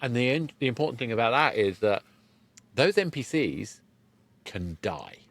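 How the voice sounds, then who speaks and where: A man hosting a podcast interview, explaining in a measured, reflective, conversational way. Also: medium pitch, very animated, no echo. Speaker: podcast host.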